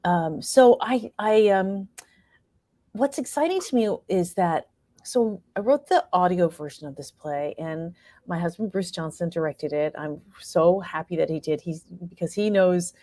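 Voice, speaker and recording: Low-voiced woman, halting and reflective. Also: dark tone, no echo, clean studio sound. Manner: halting, reflective, searching for words. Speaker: interviewed playwright and performer. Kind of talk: conversational interview answers.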